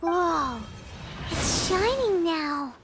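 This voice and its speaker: A woman voicing a small, childlike, excitable and earnest fairy companion in a video game. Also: very high voice, drawn-out words, exaggerated voice. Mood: excited. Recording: music behind the voice.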